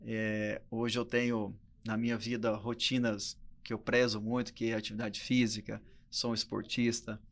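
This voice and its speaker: A man's voice: on the low side, flat delivery, normal speed, vocal fry, hardly any pauses. A Brazilian man in his early forties talking casually as a guest entrepreneur on a podcast. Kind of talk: casual conversational interview talk.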